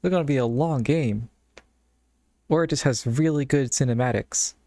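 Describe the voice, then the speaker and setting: A man's voice: medium pitch, normal speed, mellow, dry recording. A man streaming live, chatting casually and thinking aloud in a rambling way into a close mic. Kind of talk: casual live-stream chat while browsing games.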